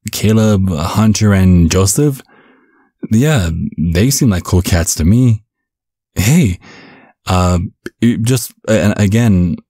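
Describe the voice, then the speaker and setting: A man playing a caring, bumbling vampire father in an ASMR roleplay, speaking gently with a stammering, reassuring manner. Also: low-pitched, breathy edge, no room sound, clean studio sound. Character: caring, bumbling vampire father, looks twenty-five, over a century old.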